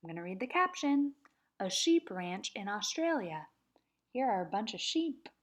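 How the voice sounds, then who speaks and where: A woman teaching kindergarten, reading a nonfiction book aloud and chanting words slowly for the children to repeat. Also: mid-range voice, big pitch swings. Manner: slow, patient, sing-song chanting of words for children to repeat. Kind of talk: read-aloud lesson for young children.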